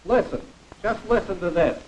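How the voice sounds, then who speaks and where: A man's voice: high-pitched, animated, normal speed, darkish tone, muffled recording. An actor playing a pompous, emphatic American pro-business man lecturing in a 1940s educational film with a hissy soundtrack. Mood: self-assured.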